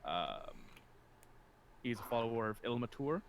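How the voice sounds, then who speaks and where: A man's voice: medium pitch, big pitch swings, a little slow. A man with a mid-range voice talks informally as a player in a tabletop game. A faint layer of background noise sits behind him. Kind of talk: casual tabletop game chat.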